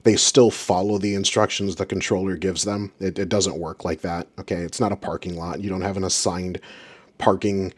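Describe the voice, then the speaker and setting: A man's voice: deep voice, flat delivery, normal speed, breathy edge. A man giving informal commentary as an aviation audio reviewer, with an exasperated, sarcastic way of ranting. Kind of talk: informal commentary on radio recordings.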